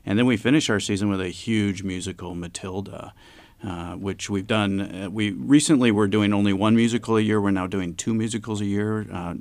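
Low, gravelly-voiced man being interviewed. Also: no room sound. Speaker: community theater director. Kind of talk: conversational interview answers.

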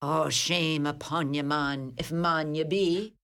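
An actress playing a bold, caring, outspoken Irish lass in a radio play, with an earnest manner. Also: female voice, deep voice, steady tone, slow pace, fairly bright tone, full character voice. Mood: indignant, urgent.

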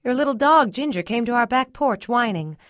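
A woman voicing a caring, reassuring neighbor lady in an audiobook, gentle and explanatory. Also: fairly high pitch, animated, brisk, no echo, studio quality, pitched above the performer's own voice, natural voice. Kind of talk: audiobook character dialogue.

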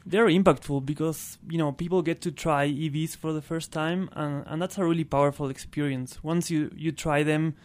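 Male radio guest, Argentine Spanish accent, slightly high and even-toned, conversational. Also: normal speed, hardly any pauses. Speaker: interview guest, clean transportation programme worker. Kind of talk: casual radio interview.

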